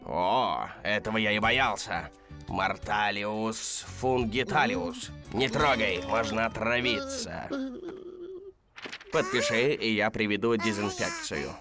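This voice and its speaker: An actor voicing an oily, fake-friendly villain in a cartoon dub, speaking in a smarmy, gloating way. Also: male voice, lowish voice, lively intonation, slow pace. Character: oily scheming adult male swindler, fake-friendly villain. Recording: studio voice with music behind.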